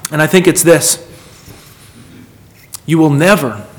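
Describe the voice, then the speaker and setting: A man's voice: fairly high pitch, lively intonation, slow pace. A man preaching a sermon in an earnest, emphatic manner, repeating phrases for weight and putting questions to his listeners.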